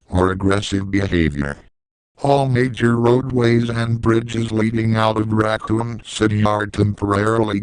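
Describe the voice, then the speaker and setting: A low-voiced man delivers a scripted emergency alert. His tone is clean and resonant, with a formal, detached, measured manner, recorded close and dry. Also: clear, resonant tone, no room sound, poor audio. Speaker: emergency broadcast announcer.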